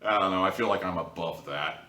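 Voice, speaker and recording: Low-voiced man reviewer, roomy and distant. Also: fairly quick, pushed and bright. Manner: wry, joking, sarcastic. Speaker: audio drama reviewer, video host. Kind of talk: casual, opinionated spoken review to camera. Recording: roomy echo, distant from mic.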